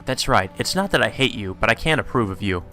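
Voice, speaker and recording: Casting: male reader as blunt, bossy teenage sorceress for a visual novel, brisk delivery, music underneath. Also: on the low side, animated, lightly acted. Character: brisk, bossy teenage mage girl, blunt but fair.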